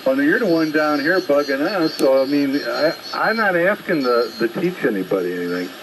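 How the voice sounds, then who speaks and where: A man's voice: a little high, lively intonation, moderate pace. A man speaking bluntly and confrontationally as an amateur radio operator, lecturing others in an unscripted exchange over shortwave radio. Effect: shortwave single-sideband radio transmission. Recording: very noisy ham radio reception.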